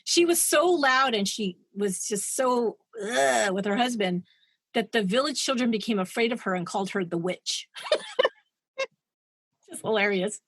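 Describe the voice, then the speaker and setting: A woman's voice: fairly high pitch, lively intonation, measured pace, fairly bright tone, husky, dry recording. Chatty woman telling a story on a podcast. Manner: chatty, digressive, laughs at her own asides. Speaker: podcast co-host. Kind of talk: casual true-crime storytelling.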